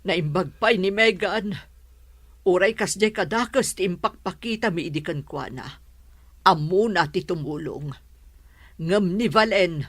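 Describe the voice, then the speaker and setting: A woman with an Ilocano accent playing a meddling, sharp-tongued mother of a grown son in a drama. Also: lowish voice, unhurried, no echo. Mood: complaining. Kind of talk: Ilocano comedy drama voice acting.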